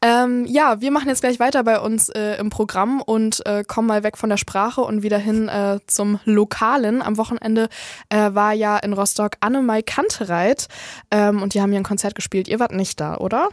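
A woman's voice: medium pitch, fairly even intonation, moderate pace, no echo, clean studio sound. A woman hosting a radio talk show in a casual, conversational style with somewhat hesitant phrasing. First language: German. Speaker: radio host. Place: dry radio studio.

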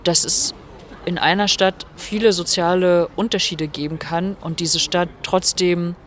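A woman's voice: lowish voice, steady tone, measured pace, slight background noise. A middle-aged woman from northern Germany giving reflective, articulate interview answers in long, explanatory sentences. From Rostock, northern Germany. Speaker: interviewed author.

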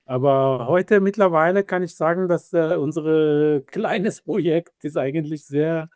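A man with an Israeli accent and Hebrew as his first language, answering interview questions about his band in halting, filler-heavy speech with some non-native grammar. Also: a little high, a little mellow, dry recording. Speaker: band member.

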